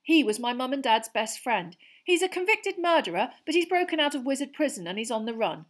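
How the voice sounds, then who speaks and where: A woman voicing a cheerful, bright teenage boy in a storybook reading. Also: high voice, big pitch swings, a little fast, pitched above the performer's own voice.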